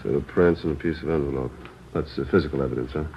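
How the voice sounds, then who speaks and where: An actor playing a seasoned chief of detectives who asks brisk, probing questions, in vintage radio drama style. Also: male voice, a bass voice, lo-fi sound, slight background noise. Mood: questioning. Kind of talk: 1940s-50s radio drama acting.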